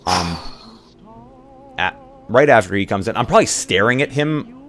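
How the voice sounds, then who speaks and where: A man playing in a casual tabletop roleplay, speaking in a hesitant, halting way as he improvises. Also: on the low side, expressive, a bit bright, soft background music. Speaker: roleplay player.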